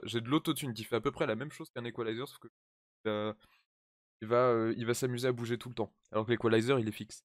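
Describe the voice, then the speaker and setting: A man's voice: medium pitch, dry recording, clean studio sound. A man chatting casually and explaining as he goes, hesitant and thinking aloud in an informal way. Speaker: music video creator recording a drill track. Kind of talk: casual explanatory vlog chat.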